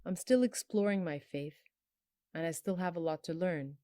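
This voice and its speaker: A woman in her twenties from Bangladesh, telling her personal story in a soft, earnest, emotional way, her phrases trailing off low. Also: normal speed, no echo, studio quality. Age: 20s. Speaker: storyteller sharing a personal testimony. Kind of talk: personal testimony.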